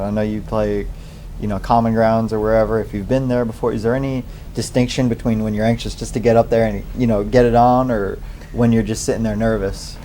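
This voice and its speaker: A man co-hosts an interview with a low, mellow voice. He asks questions haltingly, restarting as he searches for words. A faint hiss sits underneath. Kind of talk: casual interview chat.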